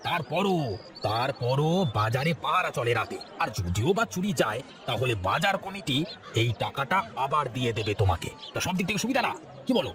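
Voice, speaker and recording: Sly, lively village thief played by a man. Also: mid-range voice, lightly acted. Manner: theatrical, wheedling. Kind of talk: cartoon voice acting. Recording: clear ambient noise behind voice.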